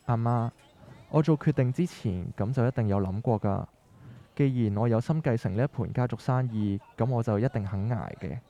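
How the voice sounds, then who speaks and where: An earnest young son in a radio drama, acted by a man, speaks Hong Kong Cantonese with a mellow, relaxed tone. He is determined and persuasive, pitched at an ordinary male height. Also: normal speed, close to an everyday voice. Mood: determined, reassuring. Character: earnest, determined young adult son of fish-market family. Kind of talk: scripted radio drama dialogue.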